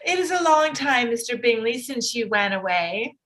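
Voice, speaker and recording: Shrill, fussy society mother. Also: expressive, moderate pace, fairly bright tone. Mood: gushing. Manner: effusive, dramatic, chattering with gasps. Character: fussy, gushing middle-aged society mother. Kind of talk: theatrical staged reading of period drama.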